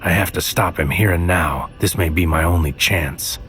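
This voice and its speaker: An actor playing a brooding, anxious infiltrator, narrating a surreal sci-fi audio drama in terse, deliberate, poetic phrases. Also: male voice, low voice, a bit bright. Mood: grim, urgent. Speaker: narrator and lead character. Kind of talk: scripted first-person noir sci-fi audio drama narration. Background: music under the voice.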